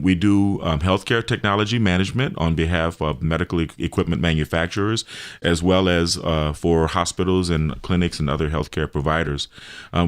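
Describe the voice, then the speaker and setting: A middle-aged man with a deep, low-pitched voice is interviewed on radio. He explains his work in careful, informative terms. His delivery is halting, building each answer piece by piece. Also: clear, resonant tone. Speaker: show host and health business owner being interviewed.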